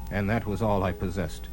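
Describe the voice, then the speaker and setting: A man's voice: deep voice, fairly even intonation, normal speed, a little mellow, soft background music, lightly acted, low-quality recording. A man playing a cocky, daring master thief with swaggering archaic speech in a dramatized fantasy audio story. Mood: confident.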